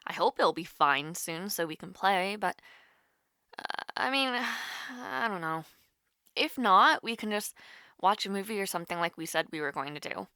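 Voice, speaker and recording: Casting: actress as nervous, playful young woman in intimate roleplay; bright voice, unhurried, halting delivery. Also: mid-range voice, no echo, studio quality. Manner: hesitant, halting, teasing. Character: nervous, playful young woman calling her online crush. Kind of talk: intimate audio roleplay, one-sided call.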